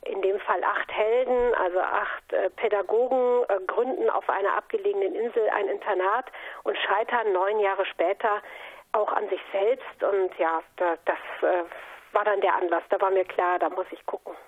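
A woman's voice: medium pitch, steady tone, normal speed. A woman from a North Sea island in Germany, an author answering interview questions in a conversational, reflective storytelling way. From North Sea island of Juist, Germany. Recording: narrow phone-like line.

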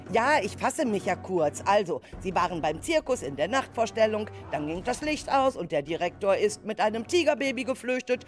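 A woman's voice: medium pitch, lightly acted. A woman playing a worried, stern children's group leader in a children's radio play, with emphatic, exasperated delivery.

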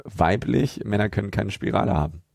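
A man's voice: fairly low pitch, animated, a little fast, gravelly, a little mellow, no echo. A man, co-hosting a podcast and telling casual anecdotes. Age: 30s. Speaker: podcast co-host.

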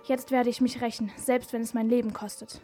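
A grim, injured hospital patient set on revenge, acted by a young female amateur. The voice is high and mellow, with faint music behind it in a homemade radio crime play. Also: little pitch movement, fairly quick, natural voice. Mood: grim, secretive.

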